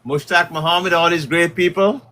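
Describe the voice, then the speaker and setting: A middle-aged man from Guyana hosting a casual livestream as a community leader, talking in a halting way with many fillers. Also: fairly high pitch, steady tone, pushed and bright.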